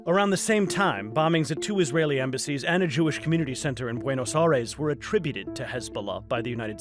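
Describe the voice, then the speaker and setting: A man narrating a scripted news explainer as a correspondent. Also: medium pitch.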